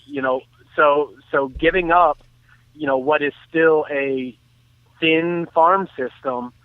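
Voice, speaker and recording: A man calling in by phone to give casual sports analysis, phrasing his points in a measured, halting way. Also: on the high side, steady tone, clear, resonant tone, no room sound. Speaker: sports talk commentator. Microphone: phone call. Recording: thin, tinny phone line.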